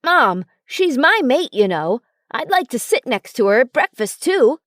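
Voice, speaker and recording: A woman voicing a gentle, devoted young male alpha werewolf in a tender, reassuring way for a story. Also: high voice, very animated, unhurried, dry recording, studio quality, pitched above the performer's own voice, heavily acted. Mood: whiny.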